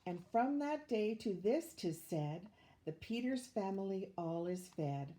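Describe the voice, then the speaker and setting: An older woman reading a rhyming children's story aloud in an expressive, measured way. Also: mid-range voice. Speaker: storybook reader, retired teacher.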